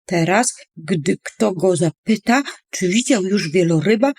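Animated woman reading a children's rhyme. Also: medium pitch, a little slow, breathy edge, dry recording, clean studio sound. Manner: playful, dramatic emphasis on words. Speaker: storyteller. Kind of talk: expressive children's poem reading.

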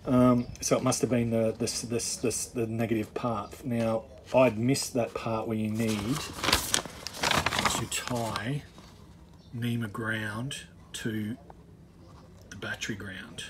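A man's voice: on the low side, level tone, takes it slowly. Man explaining casually over background noise. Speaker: boat owner giving a DIY walkthrough. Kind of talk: casual off-the-cuff tutorial. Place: on a boat. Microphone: handheld phone. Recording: handheld phone recording, clear ambient noise.

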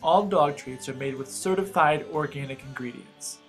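A man's voice: fairly high pitch, normal speed. A man reading a scripted voice-over in an informative, read-aloud way. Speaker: narrator. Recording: voice-over with faint background guitar.

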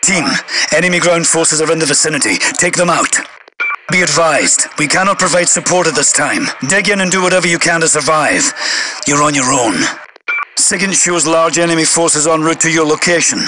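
British major general for military game: stern, clipped, commanding, slightly high-pitched, radio-filtered with static. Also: male voice, moderate pace. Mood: grave, commanding. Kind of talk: video game voice-over, military radio announcements. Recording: radio crackle and heavy noise.

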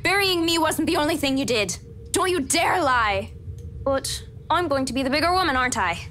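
Woman voicing a high-pitched, reproachful teenage ghost girl. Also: expressive, normal speed, a bit bright, slight background noise. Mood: hurt, insistent. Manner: reproachful, insistent. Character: hurt, demanding teenage girl ghost from a mirror. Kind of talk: visual novel character read-aloud.

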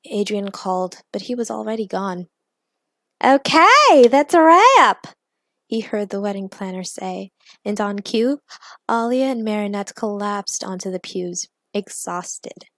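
A woman narrating fiction aloud in an expressive, measured, dramatic storytelling style. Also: medium pitch, no room sound. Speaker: narrator and author of fan fiction.

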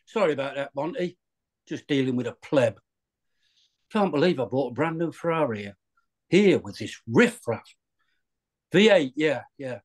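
A man's voice: mid-range voice, lively intonation, normal speed, pauses often, natural voice. A man on a video call reading the part of an arrogant, entitled rich young man who sneers at everyone. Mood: sneering.

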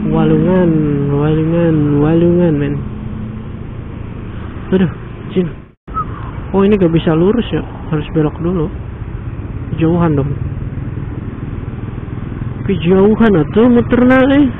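A man with a Sundanese-flavoured Indonesian accent giving slangy, casual running commentary while riding a motorcycle, recorded on a helmet action camera. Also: a little high. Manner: chatty, slangy, quick exclamations. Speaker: motovlogger. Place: outdoors on a moving motorcycle in traffic. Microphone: helmet-mounted action camera. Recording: tinny lo-fi with loud road and wind noise. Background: traffic and engine noise.

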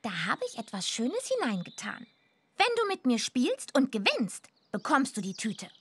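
A woman's voice: a little high, huge pitch swings, normal speed, breathy edge, heavily acted. A woman playing a cocky, teasing punk teenage witch girl in a children's computer game, with a boastful, slangy way of talking. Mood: sly, teasing. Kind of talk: animated children's game voice acting.

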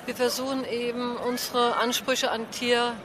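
A woman's voice: fairly high pitch, level tone, a little slow. A woman representing an animal welfare group, explaining its work in a street interview. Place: outdoors on a street. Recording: noisy outdoor street interview.

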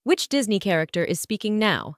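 A woman's voice: medium pitch, lively intonation, fairly quick. Lady reading quiz questions, upbeat, bouncy tone, noisy track. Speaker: quiz host. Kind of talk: scripted quiz narration.

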